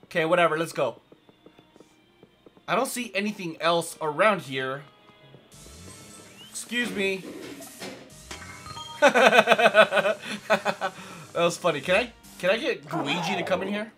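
Hoarse, raspy, chatty gaming host, man. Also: high voice, expressive, slow, long pauses, pushed and bright, faint music behind. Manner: chatty, rambling, lots of fillers. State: hoarse from yelling. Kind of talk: casual let's play commentary.

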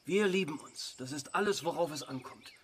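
Slightly high-voiced, volatile husband acted by a man. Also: fairly quick, slight background noise, lightly acted. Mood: pleading, insistent. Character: volatile, controlling husband, pleading and brusque. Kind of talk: naturalistic radio drama acting.

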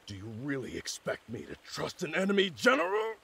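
A man voicing a gruff, distrustful army general in a video game, forceful and quick to anger. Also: on the high side, wildly swooping pitch, heavily acted. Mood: hostile. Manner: forceful, suspicious.

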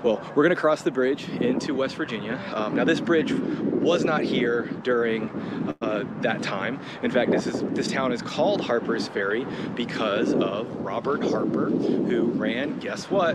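A man in his thirties talks casually as he walks by a river, holding a phone at arm's length. His voice sits in an ordinary middle range. Loud wind and passing traffic roar over the recording. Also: medium pitch, measured pace. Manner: casual, chatty, talks while walking. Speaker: outdoor educator. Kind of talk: walking vlog-style lesson. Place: outdoors by a river. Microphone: handheld phone at arm's length.

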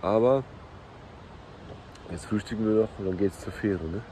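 A man's voice: on the low side, moderate pace. A man in his thirties chatting casually through a family travel vlog, with frequent tag questions. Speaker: vlogger. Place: inside a camper van and outdoors by it. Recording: road and vehicle noise.

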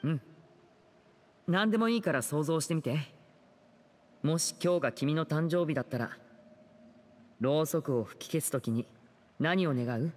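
Composed young magician, reverberant. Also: male voice, a little high, expressive, normal speed, pauses often. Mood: gentle, thoughtful. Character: composed, kind young male stage magician, protective leader. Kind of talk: Japanese anime game dialogue acting.

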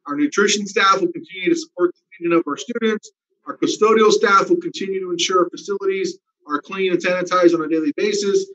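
A school district administrator giving a town hall presentation over a video call, speaking steadily with frequent "um" fillers. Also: male voice, high-pitched, fast talker, pushed and bright. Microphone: video call webcam. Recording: dull lo-fi video call audio.

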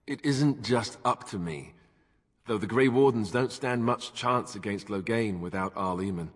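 An actor playing a wry, guarded young warrior in a fantasy game, dry and understated, with a reverberant ring. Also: male voice, on the low side, measured pace, husky. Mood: hesitant. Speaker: game character.

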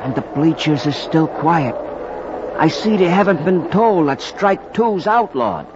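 A streetwise American bleacher fan in an old radio play, telling his tale in slangy, theatrical style. His pitch swoops around freely, over a muffled and noisy vintage recording. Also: expressive, unhurried. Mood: suspenseful storytelling. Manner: colloquial, theatrical yarn-spinning. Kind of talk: 1940s radio drama storytelling in verse.

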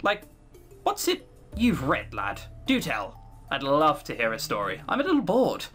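A let's player puts on a centuries-old demon woman from a game, bored and gleefully mocking. The delivery is grand and teasing, with a pitch that swoops about over background music. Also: wildly swooping pitch, a little slow, a bit bright. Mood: teasing, curious. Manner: teasing, grandiose, drawling for effect.

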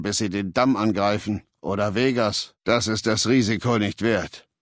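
Game villain: male actor as imperious legion warlord, deliberate, condescending, commanding, slightly rough-edged mid-pitched voice. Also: no room sound, studio quality, natural voice. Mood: stern, cold. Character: imperious, menacing warlord ruler of a slaver legion. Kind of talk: video game dialogue in German dub.

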